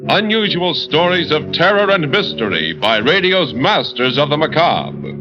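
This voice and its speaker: An actor playing an ominous host of macabre midnight tales in a theatrical 1940s radio horror style. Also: male voice, on the high side, very animated. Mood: formal announcing. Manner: slow, theatrical, ominous delivery. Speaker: announcer and narrator. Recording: dull old 1940s radio recording, music.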